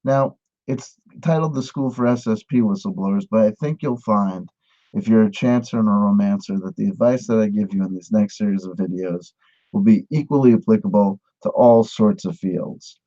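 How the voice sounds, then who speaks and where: A man giving a satirical mock lesson, with a mock-earnest pitchman delivery. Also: normal speed, warm tone, highs cut off. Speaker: mock instructor. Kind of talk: satirical monologue.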